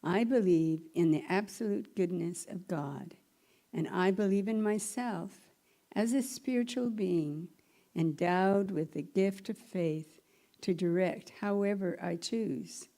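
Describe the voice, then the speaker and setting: A woman slowly reading a daily devotional at a church service. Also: fairly low pitch, warm tone. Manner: slow, measured reading. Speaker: service reader. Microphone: microphone at a lectern.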